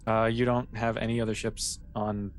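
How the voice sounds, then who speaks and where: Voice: medium pitch, little pitch movement, moderate pace, slight background noise. A game master casually improvising narration for a tabletop sci-fi game, in an easygoing, hesitant way, with everyday phrasing.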